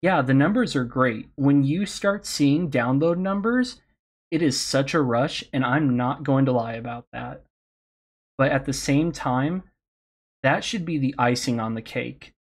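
A man who hosts a podcast, giving candid, encouraging advice on a convention panel, his voice slightly hoarse. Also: medium pitch, animated, measured pace. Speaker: podcast host speaking on a panel.

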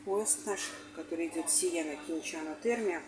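A woman in her forties talks to her viewers on a handheld phone, chatty and blunt, her voice sitting a little low. Plenty of background noise comes through the phone audio. She speaks from a cathedral, from the street and from a train seat. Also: moderate pace. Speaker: tour guide and vlogger. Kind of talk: casual vlog narration. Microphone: handheld phone camera.

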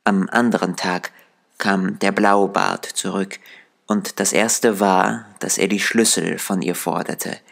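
A male storyteller reads a dark fairy tale from a script. His voice is lowish and creaky, and he takes his time, measured and deliberate. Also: fairly even intonation.

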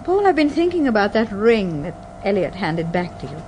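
An actress playing an observant, concerned British detective's wife in a radio drama. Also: female voice, medium pitch, very animated, moderate pace, darkish tone, a little hiss or hum, lightly acted, low-quality recording. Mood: curious.

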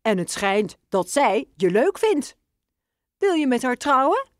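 Squeaky storybook father mouse, earnest and fussy, in a dry close read; a woman voicing him. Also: sing-song, slow pace, dry recording, exaggerated voice. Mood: pleased. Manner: squeaks "piep" between phrases.

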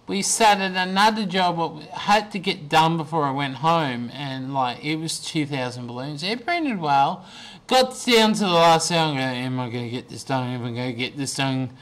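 A man's voice: fairly high pitch, fairly bright tone. A young man in his twenties vlogging about his day on a webcam from a small bedroom, tired and losing his voice, rambling with many ums and restarts. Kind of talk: casual video diary. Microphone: webcam at desk.

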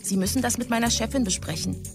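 An actress playing a dutiful, devoted office assistant dictating polite, businesslike messages. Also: female voice, on the high side, fast talker, over music, close to an everyday voice.

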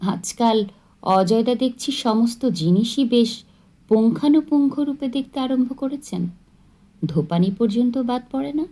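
Woman acting a high-pitched, arch girlish sweetheart. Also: lively intonation, measured pace, mellow. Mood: arch, teasing. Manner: inquisitive, arch.

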